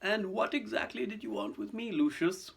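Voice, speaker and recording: A dignified old headmaster from a storybook. The voice is lifted well above a normal male register, measured, formal and quietly steadfast. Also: high-pitched, animated, vocal fry, breathy edge, pitched above the performer's own voice, exaggerated voice. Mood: polite anger.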